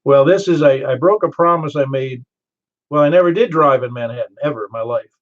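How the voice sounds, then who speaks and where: An older man with a Southern American accent running a ham radio net, chatty and quick to laugh as he tells stories. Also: medium pitch. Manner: chatty, storytelling, laughs easily. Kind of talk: casual radio chat. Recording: muffled ham radio audio.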